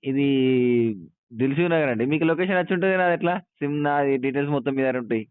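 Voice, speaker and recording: A man's voice: fairly high pitch, rapid-fire, smooth, dry recording. A man with a Telangana Telugu accent calling a helpline as a customer, making a casual, plaintive and insistent complaint. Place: on a phone line. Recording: tinny, narrow phone call recording.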